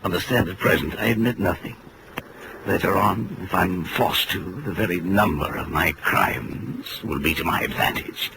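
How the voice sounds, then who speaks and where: An actor playing a badly injured, calculating villain, articulate, condescending and labored, with a gravelly voice, in a 1940s radio drama on a noisy old recording. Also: male voice, low-pitched, moderate pace. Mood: smug, strained.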